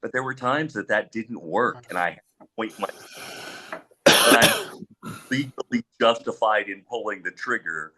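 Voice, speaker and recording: A middle-aged man with a very low voice that still cuts through with a bright, forceful edge. He speaks as a panelist drawing on his own experience, pausing as he goes and stating his views firmly. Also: slight background noise. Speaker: panelist, former police officer.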